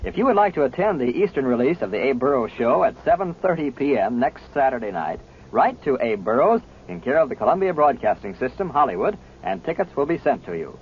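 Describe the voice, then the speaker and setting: Lively male announcer on tinny vintage radio. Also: mid-range voice, a little fast. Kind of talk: formal 1940s radio announcement. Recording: noisy, tinny 1940s radio broadcast.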